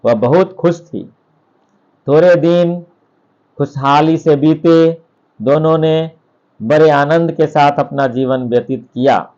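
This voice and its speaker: Guy reading a bedtime tale real slow, kinda high voice, dull lofi audio. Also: a little mellow. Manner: deliberate, short phrases with pauses. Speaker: storyteller. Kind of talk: scripted bedtime story narration.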